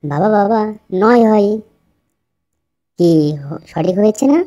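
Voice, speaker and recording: A woman's voice: mid-range voice, animated, takes it slowly, mellow. A woman voicing a cheeky, boastful young village boy in a children's puppet story. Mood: sly, pleading. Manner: boastful, playful.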